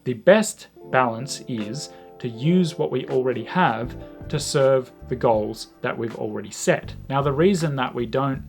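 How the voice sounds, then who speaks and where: A man's voice: mid-range voice, a little slow, creaky, soft background music. A man talking casually to camera about language learning, reflective and self-deprecating, with many "like"s.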